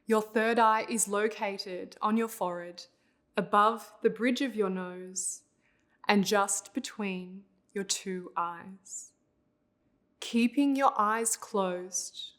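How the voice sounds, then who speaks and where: Woman, meditation guide, mid-range pitch, very unhurried pace, calm instructional delivery.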